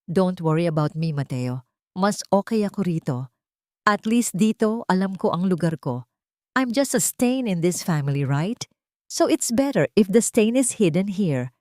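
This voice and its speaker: A woman's voice: fairly low pitch, animated, unhurried, darkish tone, dry recording, studio quality. A Filipino woman voicing a wounded young wife in a story, cold and resigned.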